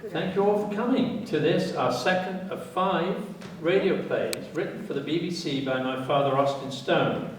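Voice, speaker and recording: A man's voice: fairly high pitch, normal speed, hardly any pauses. A man who narrates radio plays, giving an introductory talk to a live audience. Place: indoor theatre, distant microphone. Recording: very echoey theatre, distant, faint noise.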